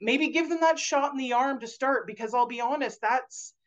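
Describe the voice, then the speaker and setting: Loud animated woman on a video call. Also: high-pitched, moderate pace, muffled recording. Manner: loud, animated, many fillers and restarts. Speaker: guest author. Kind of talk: casual video call interview. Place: home room indoors. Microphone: video call webcam.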